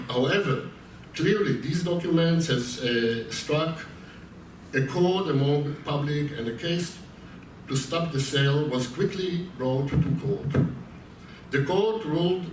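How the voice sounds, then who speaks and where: A man with an Israeli accent giving an academic lecture, halting with fillers and restarts. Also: fairly high pitch. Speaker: visiting lecturer. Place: lecture hall. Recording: echoey hall from far off, noisy.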